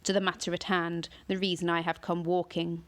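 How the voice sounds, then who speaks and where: A woman reading aloud, voicing a huge ancient male tree monster with slow, booming declamation. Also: little pitch movement, close to an everyday voice.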